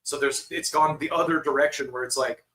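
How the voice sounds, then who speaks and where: A man from Utah, a podcast co-host, talking in a casual, profane and animated way. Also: on the high side, fairly quick, roomy sound. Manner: animated, profane, swings into mocking quoted voices. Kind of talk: casual conversation.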